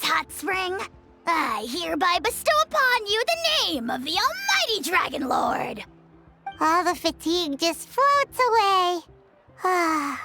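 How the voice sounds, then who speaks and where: A woman voicing a tiny childlike creature in a cartoonish video game, squeaky, bubbly and boastful, with theatrical exclamations. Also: creaky, exaggerated voice. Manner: exclamatory, theatrical, drawn-out delivery. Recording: music behind the voice.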